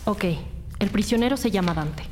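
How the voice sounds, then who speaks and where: A woman's voice: medium pitch, lively intonation, normal speed, slight background noise, natural voice. A woman playing a firm, no-nonsense police detective in a scripted crime audio drama. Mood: serious. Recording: music bed under the voice. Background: dramatic music.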